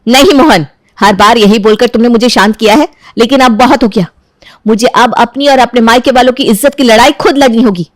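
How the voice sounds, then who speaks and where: A woman playing a young daughter-in-law in a story, firm and measured, polite but standing her ground. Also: fairly high pitch, no echo, pitched above the performer's own voice, close to an everyday voice. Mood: indignant, resolute.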